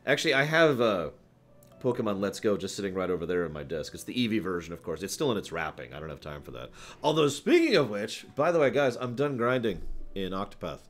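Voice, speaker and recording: A man with a fairly low voice and very animated intonation hosts a casual gaming stream. He rambles and thinks aloud while talking to his viewers, with faint music behind him. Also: fast talker. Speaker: livestream host answering viewer questions. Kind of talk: casual livestream chat about games.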